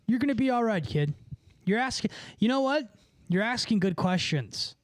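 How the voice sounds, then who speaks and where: A man's voice: sits high, expressive, normal speed, no echo. A man livestreaming, chatting casually with viewers in a rambling, profane, slangy way. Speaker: livestreamer and musician.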